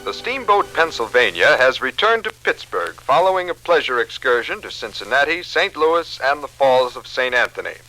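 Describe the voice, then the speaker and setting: A man's voice: mid-range voice, very animated, moderate pace, hardly any pauses, bright, forceful tone, soft background music, poor audio. A man formally reading a news report in 1950s radio style, on a vintage recording. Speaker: radio reader.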